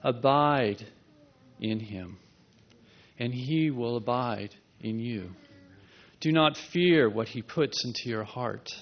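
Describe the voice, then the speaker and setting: Slow gravelly man prophesying. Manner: solemn, repeating questions and promises. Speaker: prophetic speaker. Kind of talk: prophecy in a church meeting. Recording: dull low-fidelity recording.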